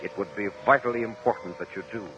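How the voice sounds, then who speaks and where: A man's voice: on the low side, quick pace. A man announcing a 1950 radio program in dramatic scripted narration. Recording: muffled old radio recording. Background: music.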